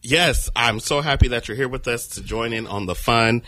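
A man's voice: mid-range voice, normal speed, pushed and bright, a little hiss or hum. A man hosting a radio talk show, welcoming his guest and asking questions in an upbeat, enthusiastic way. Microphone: studio mic.

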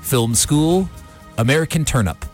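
A man's voice: on the high side, animated, a little slow, a little mellow. A man hosting a radio show in a casual, rambling, easygoing way. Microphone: studio radio microphone. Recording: music behind the voice. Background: music.

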